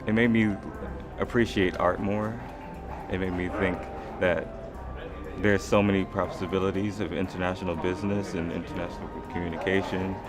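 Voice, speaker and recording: Deep-voiced eighteen-year-old guy. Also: level tone, moderate pace. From Ohio. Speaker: student in a study abroad program. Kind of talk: testimonial. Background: music.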